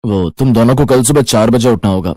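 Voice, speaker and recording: Man as a fast-talking, dutiful young husband. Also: medium pitch, warm tone, no echo, studio quality, close to an everyday voice. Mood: apologetic, earnest. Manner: earnest, explanatory. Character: dutiful young married son, anxious to please father. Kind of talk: cartoon moral story dialogue.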